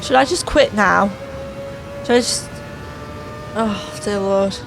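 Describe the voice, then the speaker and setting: A woman's voice: medium pitch, takes it slowly, background noise. A woman giving sarcastic, exasperated voice-over commentary on a racing game while losing her voice. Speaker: gaming channel host. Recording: voice over clear background music.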